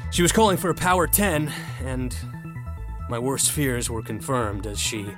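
A man plays an earnest young rower telling his own story, with clear music behind him. His mid-pitched voice is candid and introspective, in first-person audio drama narration. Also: animated, normal speed, lightly acted. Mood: tense, recounting. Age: young adult. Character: earnest, competitive young novice male rower.

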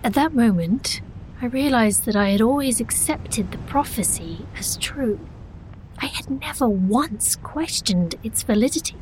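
A woman's voice: fairly high pitch, animated, measured pace, darkish tone, a little hiss or hum, rough recording. A woman playing a young, sheltered sorceress princess who earnestly narrates her own story in an audio drama. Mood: narrating, tense. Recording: music behind.

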